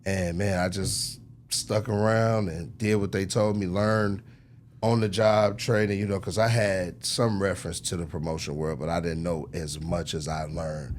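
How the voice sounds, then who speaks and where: A middle-aged American man telling his story casually as an interview guest, in slangy conversational speech with frequent throat clearing. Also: low-pitched, flat delivery, normal speed, a little hiss or hum. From United States, Chicago. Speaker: interview guest, record executive.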